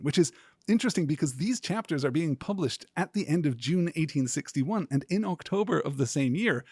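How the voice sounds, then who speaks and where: A man hosting a podcast as a scripted literary lecture, speaking in a measured, earnest, academic way. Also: fairly high pitch, darkish tone, breathy edge, dry recording, studio quality. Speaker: podcast host.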